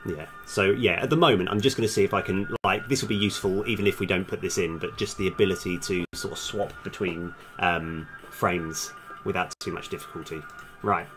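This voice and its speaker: Low-pitched male voice, casual streamer, rambling, hesitant, self-deprecating, music playing behind. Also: steady tone, a little fast. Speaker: streaming game developer, host. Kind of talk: casual live coding stream talk, thinking aloud.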